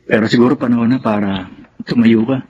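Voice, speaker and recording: A man's voice: medium pitch, fairly even intonation, fairly quick, darkish tone, natural voice. An actor with a Filipino accent playing a supportive, level-headed young man in a radio drama, earnest and reassuring. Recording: dull lo-fi sound, faint noise.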